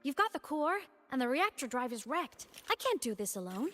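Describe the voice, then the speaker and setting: A woman's voice: high-pitched, big pitch swings, moderate pace, a bit bright, soft background music. A woman voicing a playful, teasing digital ghost girl in a video game, breezy and casual but determined. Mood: pleading, exasperated. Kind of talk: video game story voice acting.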